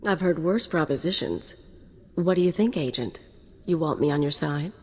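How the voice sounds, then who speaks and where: A cocky female mercenary with a very low voice and flippant, sardonic delivery. The game audio trails into a long reverb. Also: lively intonation, moderate pace, a little mellow, breathy edge.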